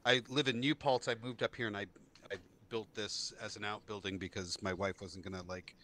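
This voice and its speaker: A man's voice: fairly low pitch, steady tone, fairly quick, bright, forceful tone, a little hiss or hum. A man chatting casually on a video call from his small home studio, slangy and self-deprecating. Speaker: guest musician. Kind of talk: casual livestream chat.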